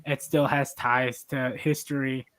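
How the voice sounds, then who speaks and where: A man with a middling pitch talks casually as a podcast host and judge. His delivery is conversational and informal. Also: flat delivery, measured pace, a bit bright.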